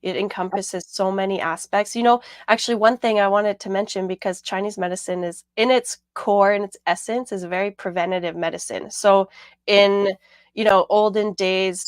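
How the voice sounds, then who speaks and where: A woman with a raspy voice hosting a casual radio talk show, rambling a little with fillers and repeated words. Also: medium pitch, steady tone, moderate pace, no echo.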